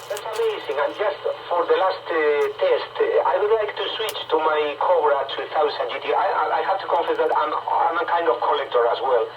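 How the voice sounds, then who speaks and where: A man, a native Spanish speaker, talking haltingly in a casual explanatory way as a radio operator, heard over shortwave radio. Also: fairly high pitch. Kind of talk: casual ham radio chat. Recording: noisy, dull, distant radio reception. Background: loud radio static.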